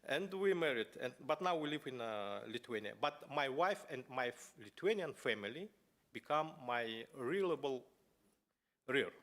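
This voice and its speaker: Halting man giving a conference speech. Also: mid-range voice, expressive, a bit bright. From Buryatia, Russia. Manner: halting, many fillers and restarts, non-native phrasing. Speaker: conference speaker. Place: parliament conference hall.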